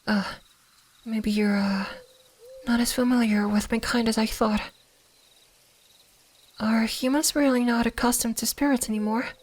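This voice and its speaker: A woman playing a gentle, curious kitsune fox spirit in an ASMR roleplay, speaking softly, soothingly and a little hesitantly. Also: fairly high pitch, steady tone, unhurried, pauses often. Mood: gentle, slightly shy. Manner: soft-spoken, soothing, hesitant, at times whispering.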